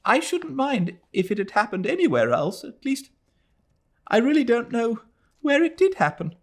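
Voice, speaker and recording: A man's voice: very animated, moderate pace, exaggerated voice. A man voicing a tearful, anxious young woman. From Britain. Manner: high, pleading, tremulous.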